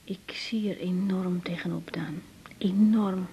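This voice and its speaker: An actress from the Netherlands playing a caring, hesitant young doctor in a naturalistic Dutch radio drama, with a breathy voice. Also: female voice, on the low side, steady tone, slow pace, dark tone, slight background noise. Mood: uneasy, subdued. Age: young adult.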